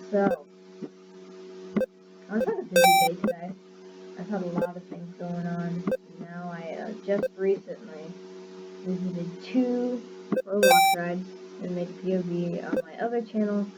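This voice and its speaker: A young woman hosting her own gaming channel, giving casual, deadpan commentary in a voice she calls monotone. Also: fairly low pitch, slow, pauses often. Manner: deadpan, self-described monotone. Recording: dull lo-fi sound with loud music.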